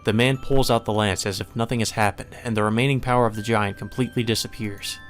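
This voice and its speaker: Low-voiced man narrating solemnly over music. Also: fairly even intonation, fast talker. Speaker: narrator, let's play reader. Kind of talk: dramatic scripted narration of a visual novel. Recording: music behind the voice.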